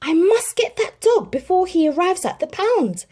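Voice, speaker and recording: A woman's voice: very high voice, sing-song, normal speed, a little mellow, pitched above the performer's own voice. A woman voicing a concerned young husband who owns the dogs, in a storybook read-aloud, anxious and questioning.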